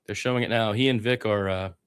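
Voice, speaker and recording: A man's voice: fairly low pitch, steady tone, fast, smooth. A man giving informal radio-style basketball play-by-play, with blunt, conversational and opinionated asides. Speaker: play-by-play sports commentator.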